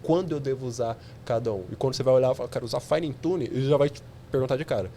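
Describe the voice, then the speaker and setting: A man's voice: mid-range voice, racing, warm tone, a little hiss or hum. A man, a podcast guest explaining technical ideas step by step with examples.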